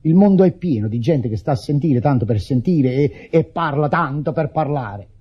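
An actor playing a bitter, exasperated widower in an Italian radio play, husky and declamatory. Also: male voice, fairly high pitch, expressive, normal speed. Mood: bitter, pleading. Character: exasperated widower raging at intrusive mourners. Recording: dull radio drama recording, background voices.

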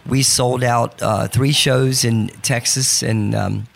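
A man who performs as a guest on a radio show, his voice lowered by allergies, talking in a chatty, enthusiastic way. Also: steady tone, slow, gravelly. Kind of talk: casual radio interview. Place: radio studio.

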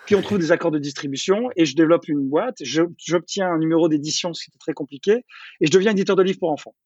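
A middle-aged man speaks in a husky, slightly high voice, racing through his story. He is a chatty interview guest who keeps restarting and repeating words. The recording is dry and a little dull. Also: fast, a little mellow, dry recording. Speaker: entrepreneur interviewee. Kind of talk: casual conversational interview.

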